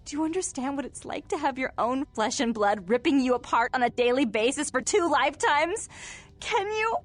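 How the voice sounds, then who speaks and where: High-pitched, coquettish, volatile vampire. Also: female voice, lively intonation, normal speed, faint music behind. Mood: wounded, indignant. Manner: coquettish, teasing, theatrical, swinging into wounded pouting. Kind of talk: video game character acting.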